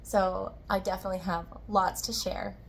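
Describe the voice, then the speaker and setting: A woman with a slightly hoarse, tired voice hosting a casual, chatty crafting video in a friendly way. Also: mid-range voice, little pitch movement, normal speed. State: slightly hoarse, tired-sounding from recovering from illness. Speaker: podcast host, yarn dyer and shop owner.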